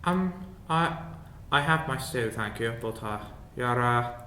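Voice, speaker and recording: A man's voice: lively intonation, slow pace, pushed and bright. Hesitant male player in an echoey room. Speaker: tabletop game player. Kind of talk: casual improvised roleplay chat. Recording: roomy echo, faint background noise, rumble.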